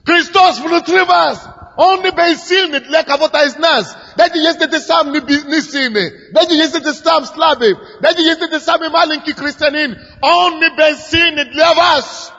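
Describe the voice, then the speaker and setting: Male pastor, high bright voice, preaches with passion, swings from shouts to near-whispers; long hall echo. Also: moderate pace. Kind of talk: sermon. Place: large church hall. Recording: dull sermon recording in a reverberant hall.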